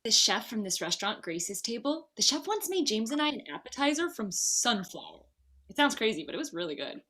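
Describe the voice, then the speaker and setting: A woman's voice: on the high side, sing-song, a little fast, bright, forceful tone, full character voice. A woman playing a playful, affectionate young bride in a comedy table read, casual and teasing. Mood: anxious to please.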